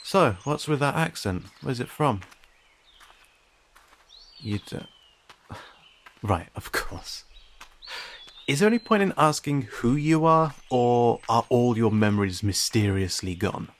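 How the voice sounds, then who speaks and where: A man playing a friendly young cat-person in an audio roleplay, talking informally and hesitantly to a silent listener, with gentle questions and dry teasing. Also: medium pitch, big pitch swings, slow, long pauses. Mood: bemused.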